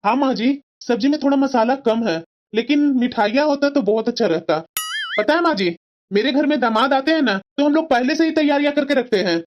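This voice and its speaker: A woman's voice: sits high, fairly quick, dull audio, background noise, close to an everyday voice. A woman voicing a boastful, fault-finding young son-in-law in a cartoon family drama, complaining smugly. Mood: smug criticism. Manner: complaining, smug.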